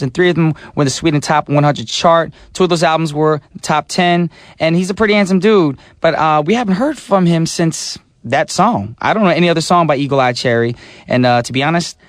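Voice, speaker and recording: A man hosts a radio music show, telling chatty, animated stories between songs. His voice sits a little high, and the studio mic sounds close and dry. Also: no echo. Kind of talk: casual radio DJ chat.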